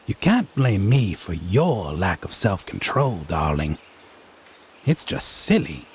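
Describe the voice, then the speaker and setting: A man's voice: low voice, very animated, takes it slowly, slight background noise, full character voice. A man playing a smug, tipsy male fae who teases his lover with mocking, sardonic condescension in a theatrical audio roleplay. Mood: amused, condescending. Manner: drawling, mocking, sardonic, pet names. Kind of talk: slow theatrical audio roleplay monologue addressed to listener.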